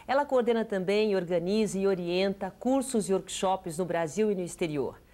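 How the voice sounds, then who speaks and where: A woman hosting a TV interview, asking lively, inquisitive questions. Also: medium pitch, normal speed. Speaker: TV interview host. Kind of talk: broadcast interview.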